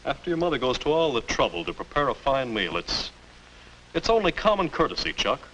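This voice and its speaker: Stern father, mid-pitched, lively intonation, lecturing, 1950s educational film, hissy old soundtrack. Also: a little fast, rough recording. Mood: reproachful.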